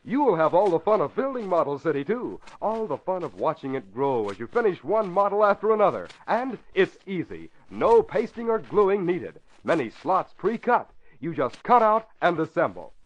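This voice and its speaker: A man announcing in mid-century radio style, an emphatic, excited pitchman. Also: moderate pace, few pauses. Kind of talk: 1940s-50s radio announcing and advertising. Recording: dull vintage radio recording.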